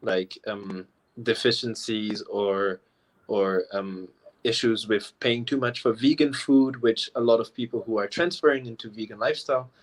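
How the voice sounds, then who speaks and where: A man's voice: fairly low pitch, steady tone, moderate pace, low-quality recording. A young man from Taiwan, a professional dancer, chatting easily as a guest on a live video call and leaning on casual fillers. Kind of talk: casual interview chat. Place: remote live chat.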